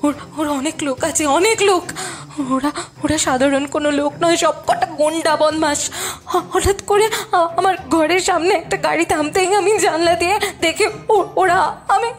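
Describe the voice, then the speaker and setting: Woman doing a scared young girl, stammering, crying, really high voice, music behind. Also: natural voice. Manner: breathless, stammering, near tears. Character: frightened young woman fleeing thugs.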